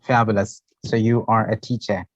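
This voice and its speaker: A man's voice: on the low side, little pitch movement, normal speed, dry recording. Man asking interview questions, dry sound. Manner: brief prompts and questions. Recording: muffled lo-fi audio.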